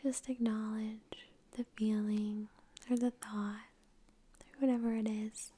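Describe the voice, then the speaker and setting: A woman whispering gently and unhurriedly in a breathy voice, close to the microphone, guiding an ASMR meditation with long pauses. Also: fairly high pitch, level tone. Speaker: ASMR creator and meditation guide. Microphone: close microphone in front of the face.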